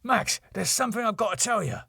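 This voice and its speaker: A man's voice: high-pitched, lively intonation, quick pace, gravelly, sharp tone, breathy edge, no room sound, studio quality, pitched above the performer's own voice, full character voice. A man voicing a frantic, exasperated schemer pleading urgently in a sitcom script reading. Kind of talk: sitcom script read aloud.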